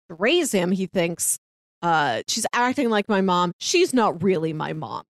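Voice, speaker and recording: A woman's voice: mid-range voice, dry recording, studio quality. A woman co-hosting a casual film discussion, chatty and often laughing as she talks. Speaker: podcast co-host.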